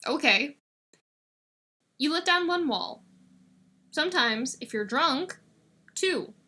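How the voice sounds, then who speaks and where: A blunt young man in an audiobook story , acted out by a woman, handing out teasing advice with authority. The voice is bright and forward and rather high. He talks like a frank college friend who is sure he is right. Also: expressive, slow, exaggerated voice. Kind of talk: audiobook character dialogue.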